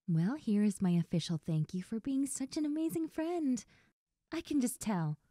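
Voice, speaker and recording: A woman playing a best friend's friendly, nosy older sister in an intimate roleplay audio drama, talking softly and coyly straight to the listener. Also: fairly high pitch, sing-song, a little slow, long pauses, no echo, clean studio sound. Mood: friendly, grateful. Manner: soft, gentle, coy, addressing the listener directly.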